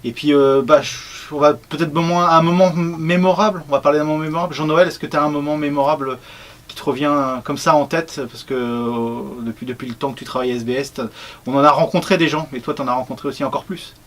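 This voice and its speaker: A man's voice: fairly high pitch, quick pace. A man who heads a radio program, casually presenting his team and the station's history, hesitating often. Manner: relaxed, hesitant with many fillers.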